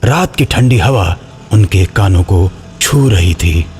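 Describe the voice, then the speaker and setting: A man's voice: sits low, expressive, takes it slowly, a little mellow, faint music behind. A man reading a scripted horror story as its narrator.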